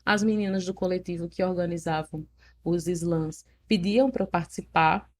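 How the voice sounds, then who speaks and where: A young woman with a Northeastern Brazilian accent, telling her story in a casual interview in an animated, frank way, laughing at herself. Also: on the low side, moderate pace. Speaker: poet, interview guest.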